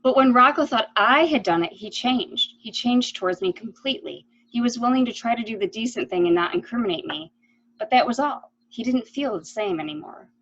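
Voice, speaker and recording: A woman's voice: on the high side, lively intonation, brisk, fairly bright tone, lo-fi sound. A woman playing a guilt-ridden yet decisive lady of the house in an amateur mystery play, speaking earnestly. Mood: regretful, troubled. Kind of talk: amateur murder mystery radio play acting.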